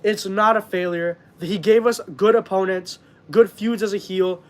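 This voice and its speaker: A man's voice: high-pitched, steady tone, moderate pace. A young man from Canada hosting a wrestling live stream, talking earnestly and rambling with fillers, with a stuffed-up voice. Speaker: wrestling channel host. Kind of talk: casual live-stream chat.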